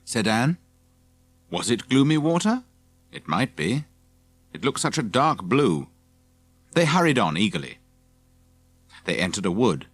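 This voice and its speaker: A man's voice: on the low side, big pitch swings, measured pace, pauses often, dry recording. A man reading scripted children's story narration as an audiobook narrator.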